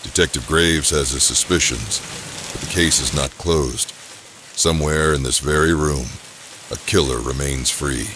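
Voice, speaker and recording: A man's voice: a bass voice, steady tone, measured pace. A man playing a grave, dramatic narrator of an audio murder mystery. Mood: ominous. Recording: rain noise under the voice. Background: falling rain.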